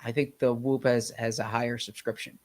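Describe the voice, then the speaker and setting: A man co-hosting a sports podcast in casual chat, slightly nasal from a cold, talking haltingly with many restarts. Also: medium pitch, flat delivery, darkish tone.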